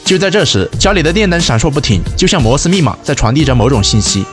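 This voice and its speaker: A man's voice: a little high, sing-song, fast talker, soft background music. A man reading a scripted film recap as narrator.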